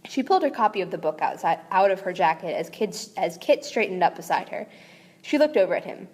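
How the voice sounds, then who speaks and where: A woman's voice: fairly low pitch, animated, a little fast, darkish tone, long reverb tail. Chatty woman reading a book aloud. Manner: chatty, with frequent fillers and rereads. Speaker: amateur audiobook reader. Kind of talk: casual read-aloud with chatty asides.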